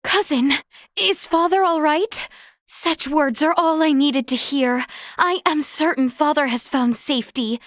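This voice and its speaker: A woman's voice: sits high, measured pace, no echo. A woman playing a young princess in a video game, speaking in a formal, courtly and earnest way, recorded dry in a studio. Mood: anxious. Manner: formal, courtly, archaic phrasing. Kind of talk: scripted video game voice acting.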